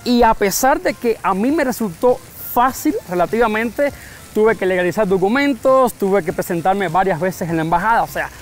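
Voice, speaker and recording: A man's voice: high voice, animated, moderate pace. A man from Cuba with a Cuban accent, talking in a casual street interview and often repeating his phrases as he thinks. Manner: talkative, thoughtful, repeats phrases. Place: outdoors on a city street.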